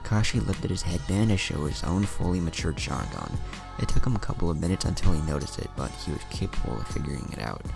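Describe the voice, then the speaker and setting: A man's voice: sits low, big pitch swings, quick pace, creaky. A man narrating scripted fan fiction, acting out dialogue along the way, with music behind him.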